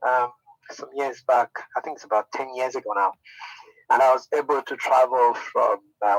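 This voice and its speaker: A man's voice: mid-range voice, a bit bright, no echo, rough recording. Middle-aged Zambian man giving a talk. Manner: friendly, informal, with frequent fillers and restarts. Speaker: guest speaker, university department head. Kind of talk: unscripted presentation.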